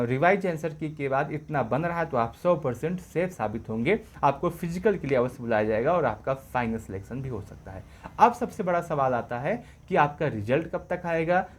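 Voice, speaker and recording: A man presenting exam updates as an informal explainer, going over points repeatedly in a reassuring way. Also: on the high side.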